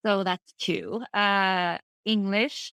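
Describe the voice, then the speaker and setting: Bright-voiced Swedish-speaking woman, middle-aged. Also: mid-range voice, drawn-out words, smooth, no room sound. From Finland. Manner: relaxed, thoughtful, with many fillers and restarts. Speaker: interview guest, freelance translator. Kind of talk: casual conversational interview.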